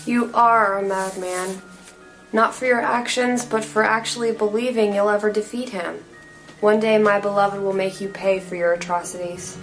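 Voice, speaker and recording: A woman's voice: medium pitch, fairly even intonation, moderate pace, faint music behind, close to an everyday voice. A woman playing a villain's devoted, anguished daughter, emotional and earnest in a dramatic screenplay read. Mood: heartbroken defiance.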